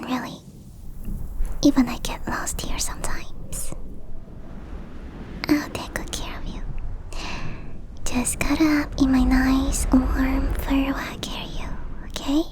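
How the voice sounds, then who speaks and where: A woman voicing a big fluffy motherly yeti in an ASMR roleplay, cooing gently and teasingly in a soft, breathy whisper. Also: sits high. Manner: cooing, nurturing, slow and teasing.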